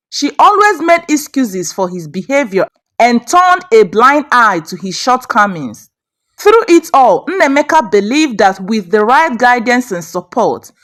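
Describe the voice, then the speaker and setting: Female narrator for folktales: fairly high voice, animated melodic range, measured expressive storytelling.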